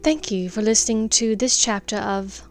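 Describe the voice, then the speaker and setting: A woman reading scripted fiction as an expressive audiobook narrator. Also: mid-range voice, normal speed, soft background music. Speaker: podfic author and narrator.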